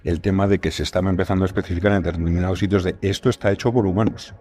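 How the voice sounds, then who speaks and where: Male podcast guest, low-pitched, fast and emphatic casual talk. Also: fairly even intonation, dark tone. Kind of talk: casual panel discussion.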